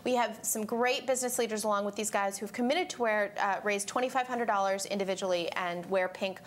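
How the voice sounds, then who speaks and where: A woman from a charity explains how people can take part in a walk, upbeat and fluent with the odd "um". Her voice sits at a middle pitch, with faint noise behind it in the studio. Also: a little fast. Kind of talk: explaining informally.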